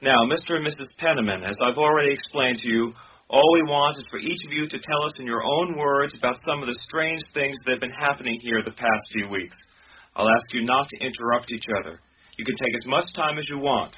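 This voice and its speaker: A man's voice: mid-range voice, brisk, lightly acted. Man playing businesslike film director, muffled radio drama. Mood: brisk, directing. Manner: courteous, instructive, orderly. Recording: dull lo-fi radio drama, faint noise.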